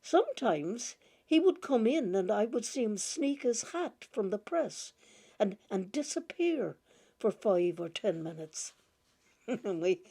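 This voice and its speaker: A woman gently reading a short story aloud, often breaking into soft laughter. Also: mid-range voice, gravelly, breathy edge.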